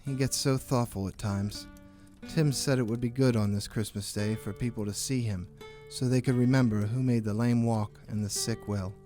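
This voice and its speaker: An actor playing a kindly, devoted father and humble clerk in a radio play, gentle and earnest. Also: mid-range voice, steady tone, moderate pace, faint music behind, natural voice. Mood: tender.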